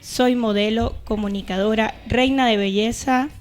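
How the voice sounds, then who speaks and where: A woman's voice: fairly high pitch, fairly even intonation, normal speed, faint music behind. A woman with a Venezuelan Caribbean accent, co-hosting a conversational podcast. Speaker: podcast co-host. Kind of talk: casual podcast chat with scripted intro.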